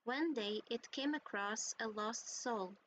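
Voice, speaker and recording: A woman playing a gentle storyteller, reading a fairy tale with soft, measured delivery in a video game. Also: a little high, slight background noise, rough recording.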